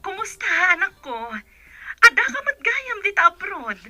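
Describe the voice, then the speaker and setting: A woman playing a tender, worried middle-aged mother in a radio drama, with a gentle, plaintive delivery. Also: very high voice, very animated, a little slow, soft background music, heavily acted.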